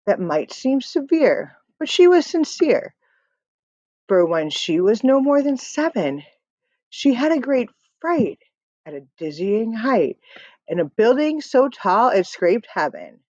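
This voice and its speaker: A woman reads a picture book to children with rhythmic emphasis. She goes slowly, with very lively rises and falls in pitch. The audio is slightly dull, as from a computer microphone. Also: no room sound. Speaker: read-aloud storyteller.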